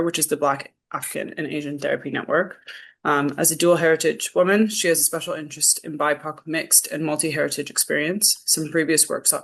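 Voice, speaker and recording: A woman hosting an online seminar, introducing the speaker in a warm, slightly hesitant way with fillers. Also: deep voice, vocal fry. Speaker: seminar host.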